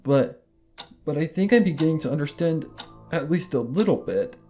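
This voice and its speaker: A man's voice: fairly high pitch, expressive, normal speed, warm tone, faint music behind, voice pushed up, close to an everyday voice. A man reading out a nervous, troubled young male dragon, speaking hesitantly. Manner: hesitant, uneasy.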